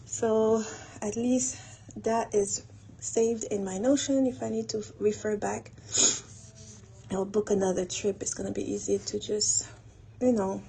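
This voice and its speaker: A woman talking casually and haltingly as she thinks aloud through her planning in an audio log. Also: mid-range voice, gravelly, mellow, low-quality recording. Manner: unhurried, halting, thinking aloud while doing tasks. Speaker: host of a planning audio log for a women's group. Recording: noticeable background noise.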